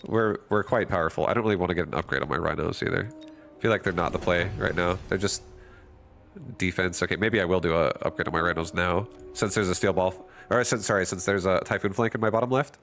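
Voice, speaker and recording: A man's voice: deep voice, steady tone, fast talker, creaky, a bit bright. A man casually thinking aloud and commenting on his own strategy game as he streams it. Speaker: gaming streamer.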